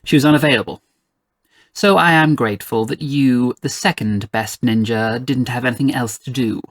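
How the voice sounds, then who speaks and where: A man's voice: medium pitch, moderate pace, fairly bright tone, natural voice. A man voicing a haughty, condescending fairy queen in a storybook tale, with an imperious, clipped manner. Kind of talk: acted storybook dialogue.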